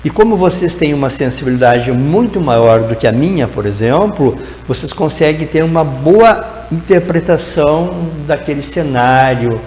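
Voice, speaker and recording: A man's voice: on the high side, animated, normal speed, hardly any pauses, darkish tone, reverberant, long reverb tail, a little hiss or hum, low-quality recording. Gaúcho man explaining with a lifted voice. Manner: explanatory, tags sentences with "né?". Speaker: guest educator in teacher training. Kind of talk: TV interview answer.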